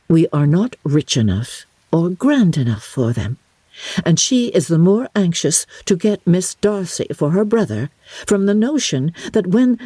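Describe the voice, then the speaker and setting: A woman voicing a spirited, sharp-witted young gentlewoman for an audiobook, frank and assured with a wry edge. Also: deep voice, husky, no echo, full character voice. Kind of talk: dramatic audiobook character reading.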